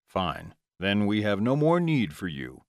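Man playing a cool, menacing low-voiced vampire. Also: very animated, unhurried, no echo, studio quality. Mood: cold. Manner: nonchalant, curt, threatening.

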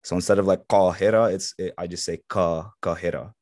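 A young man born in Egypt, native in Arabic, speaking with an American accent as a podcast guest in relaxed, filler-heavy chat. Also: low-pitched, brisk, no room sound, studio quality. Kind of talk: casual conversation.

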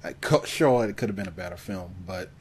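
A man co-hosting a podcast, talking casually about films with animated asides and mock quotes. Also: low-pitched, fairly quick, slight background noise. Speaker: podcast co-host. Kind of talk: casual film discussion.

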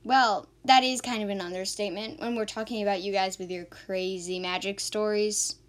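A woman's voice: medium pitch, fairly even intonation, moderate pace, lightly acted. A woman playing a sassy, sceptical young woman in an amateur radio drama, sarcastic and indignant. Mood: indignant, puzzled.

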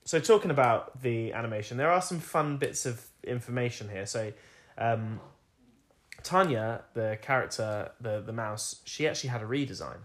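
Man, croaky voice, casual podcast chat, rambling, mid-pitched. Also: moderate pace. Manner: relaxed, rambling, frequent ums and laughs. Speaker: podcast co-host. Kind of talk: casual film review chat.

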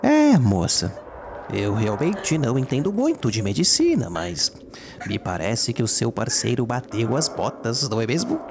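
An actor playing a sadistic, theatrical killer clown with a mocking, exaggerated delivery in an audio drama. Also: male voice, mid-range voice, huge pitch swings, moderate pace, vocal fry, background noise. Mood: mocking menace. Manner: taunting, exaggerated, swinging delivery.

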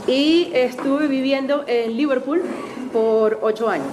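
Venezuelan woman guest speaker, bright-ish higher voice, chatty and self-mocking, noisy hall recording. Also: unhurried. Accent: Venezuelan Spanish. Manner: chatty, self-deprecating, with light laughs. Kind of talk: lecture talk to a class. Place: university auditorium.

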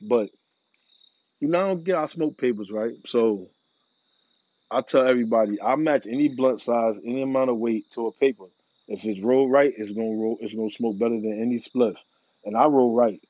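A man's voice: mid-range voice, brisk, no echo, muffled recording. A man in a casual, rambling monologue, laid-back and profane, full of slang. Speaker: vlogger. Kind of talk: casual monologue about smoking.

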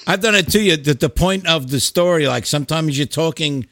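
A man's voice: on the high side, brisk, a bit bright. A man hosting a casual music chat as a fellow musician, talking in an informal, blunt way with some swearing. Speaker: podcast host and musician.

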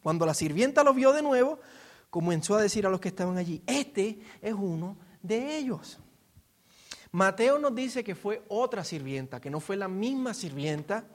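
Puerto rican guy preaching, pretty high voice, informal storyteller style, asks rhetorical questions. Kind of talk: expository sermon, conversational storytelling.

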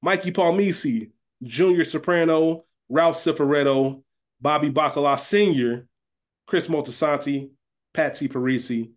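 A man co-hosting a podcast, chatting informally and conversationally. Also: mid-range voice. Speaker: podcast co-host. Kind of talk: casual banter about a TV series fantasy draft.

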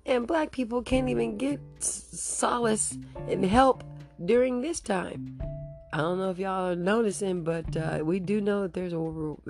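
Raspy woman podcaster, voice going, gravelly and a bit low, guitar playing behind her. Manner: rambling, laughs nervously. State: losing her voice. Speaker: podcast host. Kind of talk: casual solo podcast monologue.

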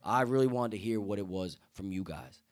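A young man talking in a casual conversation as a guest, earnest and getting loud and excited as he speaks. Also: lowish voice, a little fast. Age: young adult.

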